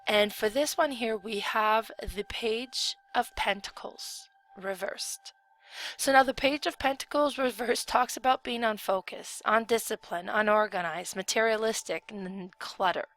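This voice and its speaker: A woman's voice: fairly high pitch, measured pace, a bit bright, dry recording, low-quality recording. A woman giving a casual tarot reading on video, explaining each card in a chatty way with frequent ums. Her voice is still recovering from a cold. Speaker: tarot reader.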